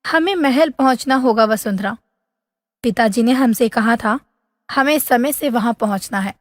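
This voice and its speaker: महिला के अभिनय में ऊँची आवाज़ वाली युवा राजकुमारी. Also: female voice, on the high side, unhurried, no room sound, clean studio sound, big-room sound, natural voice. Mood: wistful. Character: young dreamy princess, gentle and lovelorn. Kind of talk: Hindi fairy tale audio acting.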